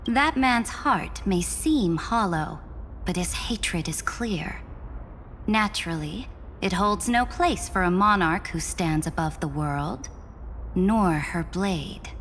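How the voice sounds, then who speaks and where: An actress playing a proud, resolute female monarch in a game, speaking in a measured, commanding way. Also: on the low side, expressive, fairly bright tone, a little hiss or hum, heavily acted. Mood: regal. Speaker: game character. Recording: game cutscene with music underneath.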